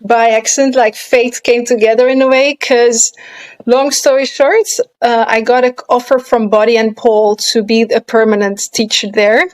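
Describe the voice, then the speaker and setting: A woman's voice: fairly high pitch, flat delivery, normal speed, poor audio. Dutch woman chatting in English. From Netherlands. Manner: chatty, searches for English words, many fillers. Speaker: guest, pole dancer and movement artist. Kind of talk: casual interview chat.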